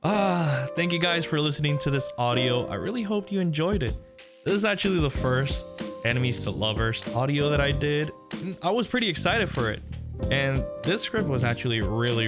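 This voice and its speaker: A man hosting his own channel, giving a casual, upbeat and grateful outro as a voice actor. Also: mid-range voice. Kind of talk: casual YouTube outro chat.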